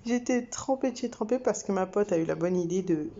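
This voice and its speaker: A woman's voice: medium pitch, a little fast, muffled recording, a little hiss or hum. A young woman from France, telling a story casually to camera with lots of fillers and laughter. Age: young adult. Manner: chatty, many fillers and laughs, animated swings. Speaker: vlogger.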